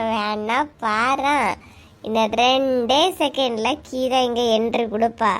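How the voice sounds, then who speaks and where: A woman plays a cartoon village woman who is a teasing know-it-all. Her voice is very high, with a Kanyakumari Tamil accent, and she chats in a playful, boastful way. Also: steady tone, takes it slowly. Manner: teasing, chatty. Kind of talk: cartoon comedy voice acting.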